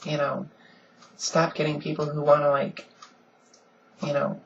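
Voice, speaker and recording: A woman with a deep voice she softens a little, talking earnestly and casually in a home vlog with lots of ums. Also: completely flat delivery, measured pace, gravelly, muffled recording, a little hiss or hum. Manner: frequent "um"s, earnest and conversational. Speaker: vlogger. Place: at home, in pajamas.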